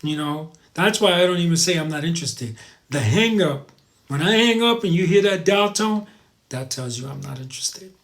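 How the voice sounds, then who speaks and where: Higher-pitched man hosting a casual livestream. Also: animated, normal speed, a bit bright. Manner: rambling, repeats advice for emphasis; informal, addresses viewers as guys. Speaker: live show host. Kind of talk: casual livestream chat, reading viewer comments.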